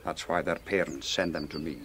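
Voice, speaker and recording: An actor playing a pious, formally courteous Scottish church minister in a radio drama. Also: male voice, very deep voice, fairly even intonation, fairly quick, fairly bright tone, breathy edge, exaggerated voice. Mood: grave, pious. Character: pious, patronising Scottish island minister, male.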